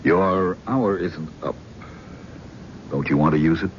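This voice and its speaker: An actor playing a calm, probing male psychiatrist who speaks in a measured, frank way. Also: sits low, a little hiss or hum. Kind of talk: 1970s radio drama acting. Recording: dull vintage radio broadcast.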